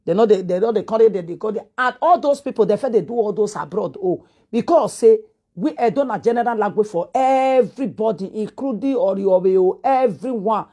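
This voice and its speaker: A woman from Edo State speaks with a Nigerian Pidgin accent in a middle-pitched voice. She gives reaction commentary and repeats herself as she pleads with her listeners. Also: expressive, brisk, dark tone. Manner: repetitive, earnest, pleading. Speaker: online commentator.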